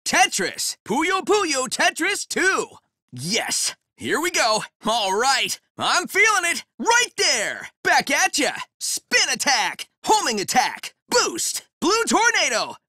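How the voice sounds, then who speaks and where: Cocky blue hedgehog guy, high bright voice, bouncy game callouts, dry booth sound. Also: huge pitch swings, takes it slowly, no room sound, exaggerated voice. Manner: cocky, punchy one-liners. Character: cocky young male blue hedgehog, brash and upbeat. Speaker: game character voice. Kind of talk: game voice clips, short shouted callouts. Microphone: close studio mic in a voice booth.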